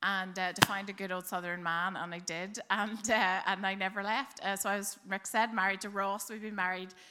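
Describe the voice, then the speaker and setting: Church guest speaker, female, 40s, Northern Irish accent, bright tone, self-deprecating and chatty. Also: mid-range voice, flat delivery, moderate pace, smooth. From Northern Ireland. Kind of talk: church talk interview.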